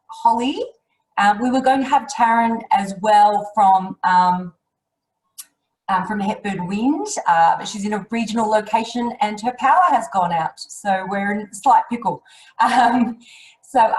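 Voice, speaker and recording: A woman's voice: mid-range voice, a little mellow. A woman moderating a webinar panel, upbeat and chatty. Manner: upbeat, laughs lightly. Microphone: video call. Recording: echoey room on a call.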